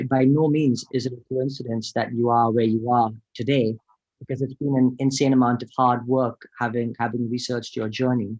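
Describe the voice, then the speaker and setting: A man's voice: mid-range voice, dry recording. A man hosting a podcast, asking an admiring, enthusiastic question. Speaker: podcast host. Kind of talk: interview question. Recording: dry, dull lo-fi sound.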